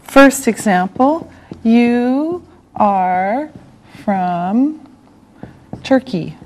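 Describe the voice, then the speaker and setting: A middle-aged woman from Canada teaching an English lesson, enunciating deliberately word by word and repeating herself, in a studio. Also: fairly high pitch, lively intonation, darkish tone, a little hiss or hum. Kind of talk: slow classroom language lesson. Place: television studio classroom set.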